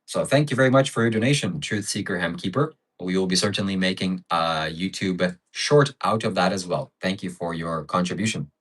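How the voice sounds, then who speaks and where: A man's voice: deep voice, fairly quick, hardly any pauses, a bit bright. A man hosting a casual livestream, thanking donors and stacking up adjectives as he chats. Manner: reads out donations and piles up adjectives. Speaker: livestream host.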